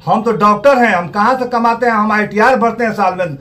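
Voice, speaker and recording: High-pitched indignant Bihari man. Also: brisk, pushed and bright. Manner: indignant, emphatic, repetitive. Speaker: doctor giving an interview. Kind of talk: aggrieved spoken statement to a local news reporter.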